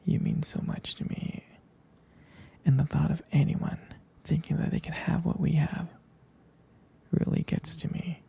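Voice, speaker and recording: A man playing a tender, jealous, devoted boyfriend in an intimate ASMR roleplay, speaking haltingly in a breathy, husky whisper. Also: a little high, little pitch movement, warm tone, exaggerated voice. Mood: jealous, hesitant. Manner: hesitant, intimate, halting delivery.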